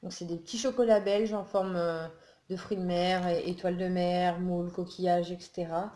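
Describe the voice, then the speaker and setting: A woman's voice: fairly low pitch, little pitch movement, normal speed, warm tone. A woman from northern France, chatting casually and rambling with lots of fillers, sounding a little groggy after just waking up early in the morning at home. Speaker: beauty and haul vlogger. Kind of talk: casual haul video chat. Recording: echoey room with faint noise.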